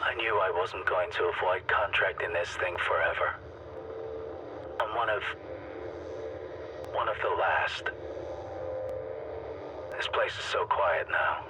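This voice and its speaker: A man playing a grave, weary ship's physician who records game audio logs in a measured, solemn way. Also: low-pitched. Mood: resigned, bleak. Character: weary male ship's physician, grave, slowly losing hope. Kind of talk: recorded audio log, dramatic game acting. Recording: noisy distant radio-like log with ship hum.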